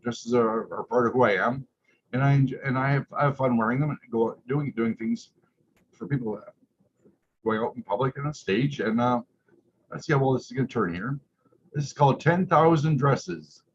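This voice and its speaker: A man's voice: mid-range voice, normal speed. Muffled, noisy recording of a male storyteller. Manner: stumbles over words while reading aloud; tags remarks with "eh". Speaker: drag queen story reader. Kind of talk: story time read-aloud with chanted refrains and casual asides. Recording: muffled lo-fi audio with clear background noise.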